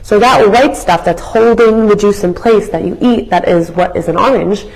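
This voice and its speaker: A young woman with a raspy voice, hoarse as she recovers from being sick. She leads a live workout class as a fitness instructor, explaining things in a chatty, educational way. She often restarts her sentences and uses fillers as she talks. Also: mid-range voice, moderate pace, poor audio. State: hoarse from illness.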